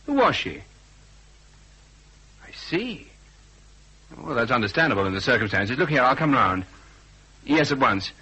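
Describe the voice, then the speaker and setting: An actor with a British accent playing a brisk, dry-witted, commanding private detective in a vintage radio drama. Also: male voice, mid-range voice, big pitch swings, bright, forceful tone, slightly rough, full character voice. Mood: impatient. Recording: dull lo-fi old radio, faint hiss.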